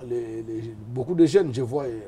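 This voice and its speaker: A man's voice: medium pitch, moderate pace, crowd noise. A man born in Liège, talking as a guest in a casual interview, rambling and hesitant with many fillers. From Liège, Belgium.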